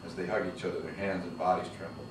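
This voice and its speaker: A man's voice: deep voice, quick pace. A man reading the stage directions aloud at a screenplay table read. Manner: steady, even reading of action lines. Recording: echoey room from far off with noise.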